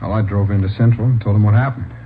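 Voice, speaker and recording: An American actor playing an anxious, respectful young policeman in 1950s radio drama style, halting and pleading. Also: fairly low pitch, steady tone, natural voice. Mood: earnest, explaining. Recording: old radio, narrow band, noisy.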